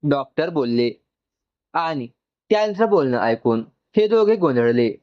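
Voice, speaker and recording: A man's voice: fairly high pitch, sing-song, measured pace. A man narrating a dramatic, emotional story from a script. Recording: slightly dull, lo-fi audio.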